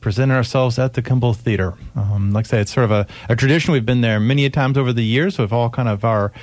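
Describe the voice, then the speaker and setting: A man's voice: medium pitch, quick pace, a little mellow, dry recording. A man speaking casually as a performer being interviewed on live radio. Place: radio station studio.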